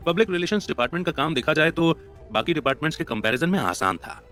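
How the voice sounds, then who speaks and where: A man's voice: on the high side, lively intonation, fast, fairly bright tone. Male story narrator over music. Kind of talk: scripted dramatic story narration in Hindi mixed with English words. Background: background music.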